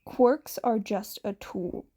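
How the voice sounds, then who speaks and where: A woman voicing a stern, protective man in a story with a deadpan, matter-of-fact manner. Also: mid-range voice, takes it slowly. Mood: calm, explaining.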